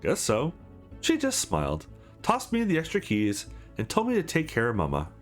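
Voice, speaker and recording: Man voicing a scrappy streetwise young woman, lively. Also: on the high side, normal speed, faint music behind, voice pushed up. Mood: fond, matter-of-fact.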